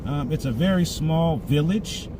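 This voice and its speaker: A man with a slightly hoarse, dry voice chats casually and rambles to livestream viewers as host while driving in a car. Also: fairly high pitch, expressive, a little slow. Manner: rambling, conversational asides to viewers. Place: inside a moving car on country roads. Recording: loud car and road noise. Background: car driving noise.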